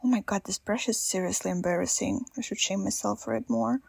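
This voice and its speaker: A woman with a Russian accent, a native Russian speaker, narrating a nail art ASMR voiceover in a soft, self-deprecating, chatty way. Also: mid-range voice, brisk, creaky, no room sound.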